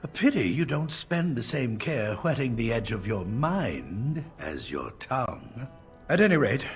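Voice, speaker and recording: An actor playing an elderly, learned castle tutor in a video game, stern and fussy, lecturing theatrically. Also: male voice, on the low side, a bit bright, heavily acted. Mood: dry, chiding. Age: older.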